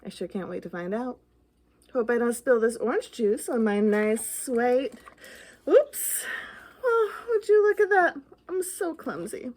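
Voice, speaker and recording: Woman as little ghost, storybook, high-pitched, very animated intonation, jumpy, bluffing, exclamatory. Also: measured pace. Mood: boastful, spooky. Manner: theatrical, exclamatory, full of anxious questions. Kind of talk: children's picture book read-aloud.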